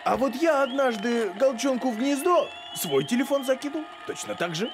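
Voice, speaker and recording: A man voicing a scatterbrained, boastful, good-natured talking dog in an animated cartoon, rambling and excitable. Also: very high-pitched, normal speed, noisy background. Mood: chatty, eager. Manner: rambling, excitable, tells tall anecdotes.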